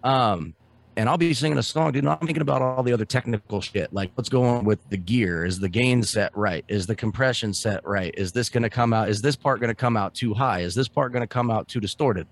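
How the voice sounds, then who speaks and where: A man co-hosting a podcast in casual chat, loud and excitable, swearing freely. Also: mid-range voice, fairly quick. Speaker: podcast co-host.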